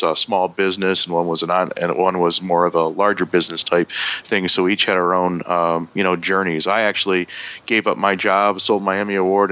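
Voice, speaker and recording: A man's voice: deep voice, pushed and bright, dull audio. A man with a northern American accent, a guest in a casual interview, talking in a rambling way with many fillers and restarts. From northern United States. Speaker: podcast guest.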